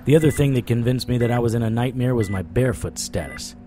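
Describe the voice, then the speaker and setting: An actor playing a world-weary supernatural private eye, narrating an audio drama in a wry, deadpan way. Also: male voice, mid-range voice, fast talker, music in the background, close to an everyday voice. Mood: brooding, grim. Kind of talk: noir first-person audio drama narration.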